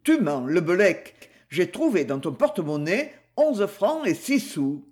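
A man's voice: high voice, sing-song, unhurried, a little mellow. A man voicing a blunt police assistant who accuses a suspect. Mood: accusing. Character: brusque male police assistant.

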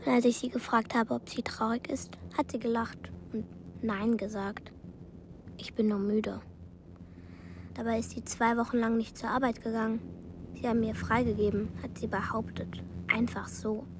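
A female performer as a lonely little schoolgirl in a radio play. She speaks earnestly in a high, childlike voice, with clear background noise. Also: flat delivery, moderate pace, natural voice. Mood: wistful. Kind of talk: radio drama narration and dialogue.

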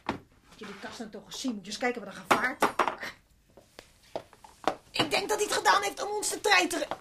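Very high-pitched grumbling cleaning lady. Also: big pitch swings, a little fast, gravelly, heavily acted. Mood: fussy, chatty. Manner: rambling, gossipy. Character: chatty, grumbling working-class cleaning lady. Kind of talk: Dutch radio play acting. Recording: noisy old radio play recording.

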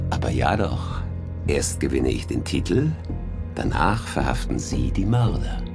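A cocky football club boss, super deep voice, smug, radio play, music behind. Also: male voice, big pitch swings, slow, vocal fry, pauses often, full character voice. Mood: smug, amused. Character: male football club boss, confident, brazen, title-obsessed. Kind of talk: radio drama acting.

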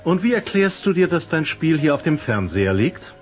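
A man's voice: fairly high pitch, very animated, moderate pace, faint music behind. A man playing a sceptical, mildly irritable father in a radio play, questioning with dry irony. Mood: sarcastic. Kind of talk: German radio play acting.

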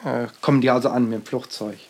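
Halting man recounting in a plain voice. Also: medium pitch, steady tone, darkish tone, a little hiss or hum. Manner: halting, with frequent fillers and restarts. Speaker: artist. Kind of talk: rambling personal account in a radio feature.

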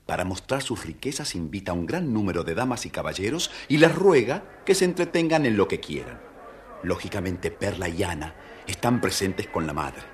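A deep-voiced man tells a children's fairy tale. His scripted narration is expressive and theatrical. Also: normal speed. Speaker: storyteller, narrator.

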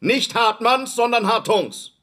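An actor playing a grudgingly obedient manservant who repeats things stiffly, in a radio play. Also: male voice, very high-pitched, animated, measured pace, full character voice. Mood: reluctant, raised voice. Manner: stiff, loud military-style repeating. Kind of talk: German radio play acting.

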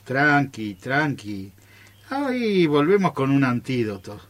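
A man's voice: medium pitch, big pitch swings, slow. An Argentine man acting a reckless, bossy amateur scientist who brushes off every worry in a radio play. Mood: bossy, confident. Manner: hushing, dismissive, overconfident.

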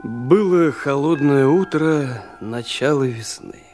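A man narrating a radio drama in a measured, literary storytelling style. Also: mid-range voice, darkish tone, soft background music.

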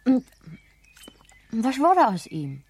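A woman's voice: fairly high pitch, wildly swooping pitch, drawn-out words, a little mellow, husky, full character voice. A woman acting a prim, curious young girl, the heroine's friend, in a German radio play.

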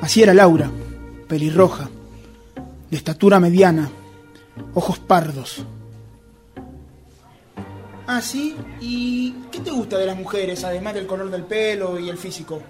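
A man with an Argentine accent acting a brooding, obsessively jealous narrator in a radio drama, speaking deliberately and introspectively. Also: high voice, music in the background. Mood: quietly probing. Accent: Rioplatense Argentine Spanish. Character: obsessive jealous man confessing his secret pursuit of a rival.

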